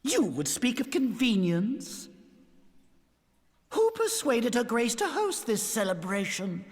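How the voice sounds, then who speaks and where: An actor playing a haughty, scheming lord in a fantasy video game, with pompous, sneering, archaic formal delivery. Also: male voice, very high voice, huge pitch swings, takes it slowly, heavily acted. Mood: smug accusation. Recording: long hall reverb.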